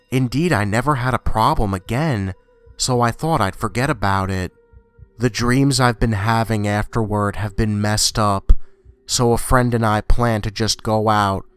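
A man's voice: fairly low pitch, clear, resonant tone, no room sound. A man reading a scripted first-person scary story as a horror narrator.

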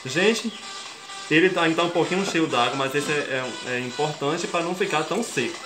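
A man's voice: a little high, moderate pace. Chatty Brazilian man cooking in an echoey kitchen. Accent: Brazilian Portuguese. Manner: chatty, explains each step and keeps addressing viewers as folks. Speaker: cooking show host. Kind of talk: casual cooking tutorial. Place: home kitchen, indoors. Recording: echoey kitchen with background music.

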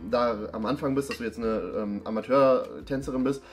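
A man's voice: medium pitch, steady tone, brisk. A young adult man making improvised, awkward date conversation in a small studio. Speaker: performer in a comedy dating video. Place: small indoor studio set.

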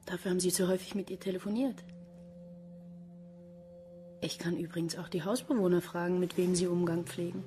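A woman's voice: fairly low pitch, steady tone, fairly quick, pauses often, warm tone, slight background noise, close to an everyday voice. Actress as a dry, sardonic female detective. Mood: pressing. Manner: dry, pointed questioning. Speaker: actor in a crime radio play. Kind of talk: German radio drama acting.

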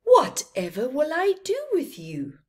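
Woman as a kindly, slow-spoken storybook merchant. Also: high voice, wildly swooping pitch, voice pushed up, full character voice. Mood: wondering. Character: kindly, concerned male desert merchant.

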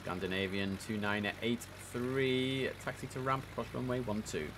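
A young man with a headset, streaming an air traffic control game, chatting casually and reading out radio calls in a relaxed way that repeats words for emphasis. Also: medium pitch, normal speed.

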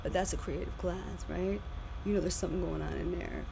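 A woman's voice: fairly low pitch, brisk, gravelly. A woman teacher in her thirties with a big voice, lecturing her class animatedly over a video call. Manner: animated, repeats and restarts phrases. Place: home room, indoors. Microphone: webcam on video call. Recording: noisy webcam audio.

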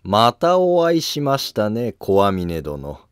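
A man's voice: lowish voice, wildly swooping pitch, unhurried, clear, resonant tone, no room sound. A man voicing a sly scheming court nobleman in a historical cartoon drama, with mock-courteous, needling politeness. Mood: smugly polite. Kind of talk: Japanese historical animated drama acting.

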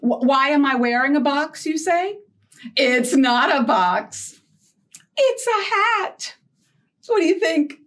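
A middle-aged woman hosting a storytime for young children, talking in an animated way with simple questions and playful surprise. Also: high-pitched, slow, long pauses. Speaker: children's librarian and storytime host. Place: small indoor set with felt board and book cart.

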